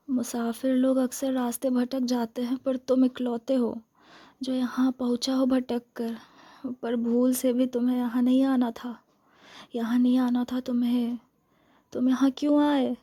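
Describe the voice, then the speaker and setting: A woman playing a lonely, seductive vampire in a soft-spoken ASMR role-play, murmuring intimately and often whispering with lingering, coaxing words. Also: on the high side, breathy edge. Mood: concerned, warning.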